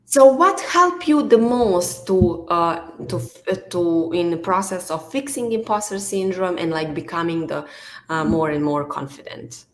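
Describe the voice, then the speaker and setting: A woman's voice: fairly low pitch, unhurried, a little hiss or hum, rough recording. A woman from Bosnia with a Bosnian accent, a non-native speaker hosting a podcast interview, leaning on filler words. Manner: leans on "like" and "you know" fillers. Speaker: podcast host. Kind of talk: conversational interview.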